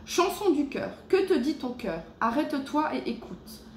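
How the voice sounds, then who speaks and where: A woman giving a casual tarot reading at home, chatty and full of fillers, reading the card texts slowly and gently. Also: sits high, big pitch swings, reverberant. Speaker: tarot reader. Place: her home. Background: cleanup noise outside.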